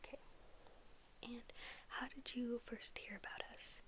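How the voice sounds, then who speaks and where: A woman whispering softly in an ASMR roleplay as a dentist, gently and politely asking questions. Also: fairly high pitch, fairly even intonation, a little slow. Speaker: ASMR performer playing a dentist.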